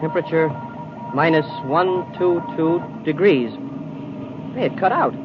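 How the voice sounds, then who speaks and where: A man's voice: a little high, unhurried, music in the background, lightly acted. An actor playing an eager, boyish space cadet sidekick, deferential to his superior, in a 1950s radio drama. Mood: alert, eager. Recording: muffled old radio recording, faint noise.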